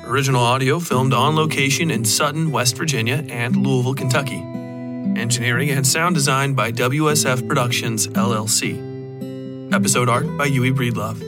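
A man narrating scripted dark fiction as a podcast host, with music behind him. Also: mid-range voice, steady tone, normal speed.

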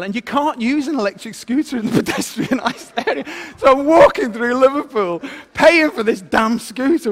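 High-voiced preacher in an echoing hall. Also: male voice. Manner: animated, self-deprecating storyteller. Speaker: former vicar giving a talk. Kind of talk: sermon with a personal anecdote.

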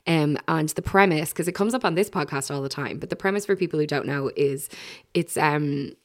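An Irish woman with a low voice hosts a podcast in easy, casual conversation. She rambles a little, filling gaps with filler words and restarting sentences as she goes. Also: a little fast. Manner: chatty, lots of fillers and restarts. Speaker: podcast host. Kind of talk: casual chat.

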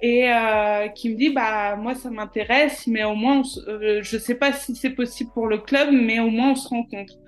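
A woman with a somewhat high voice tells her story in a relaxed interview. She hesitates and restarts often. Faint music plays softly behind her. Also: normal speed, hardly any pauses. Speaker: nutritionist guest. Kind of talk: casual interview answers.

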